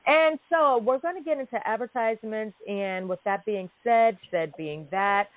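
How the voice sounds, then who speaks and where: A woman's voice: medium pitch, dry recording. A woman in her forties hosting a casual call-in podcast, chatty and informal, often repeating herself for emphasis. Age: 40s. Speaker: podcast host. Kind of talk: casual call-in show chat. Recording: narrow phone-line sound.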